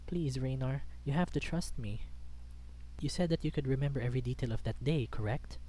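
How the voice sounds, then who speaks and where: A man's voice: mid-range voice, fairly quick, mellow, pitched above the performer's own voice, close to an everyday voice. A man voicing a distressed male animal protagonist of a visual novel, pleading in an earnest, urgent way.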